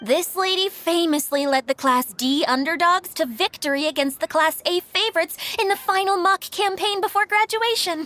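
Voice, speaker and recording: A woman's voice: extremely high pitch, moderate pace, few pauses, fairly bright tone. An actress playing an earnest young female caretaker who greets visitors formally and apologizes a lot, in game voice acting over music. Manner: formal, eager host, flustered apologies. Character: earnest young woman caretaker, fussy and polite. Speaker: caretaker of a domain. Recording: game audio with music behind.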